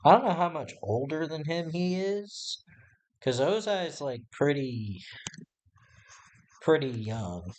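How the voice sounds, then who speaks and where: A man with a raspy voice, chatting casually and hesitantly to his live-stream viewers while he draws. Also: a little high, sing-song, slow pace, pauses often, darkish tone. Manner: rambling and hesitant, talking to himself while working. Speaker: artist livestreaming his drawing. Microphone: desk microphone.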